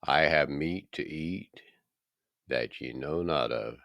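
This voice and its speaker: A man voicing a calm, authoritative holy teacher in a scripture reading, grave and deliberate. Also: a bass voice, vocal fry, no room sound, exaggerated voice. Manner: grave, deliberate, pausing between phrases.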